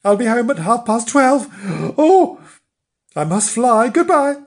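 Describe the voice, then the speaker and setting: A flustered, forgetful husband from a children's storybook, put on by a male narrator, with a high, fussy voice that leaps about in pitch. He sounds breathless and muddled, forever apologising. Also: animated, moderate pace, darkish tone, breathy edge. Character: flustered forgetful middle-aged man.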